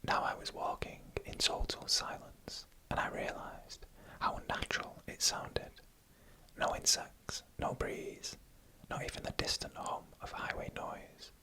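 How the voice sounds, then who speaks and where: Breathy whispered ASMR horror story narrator. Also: low-quality recording. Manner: hushed, deliberate, phrase by phrase. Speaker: narrator reading online stories.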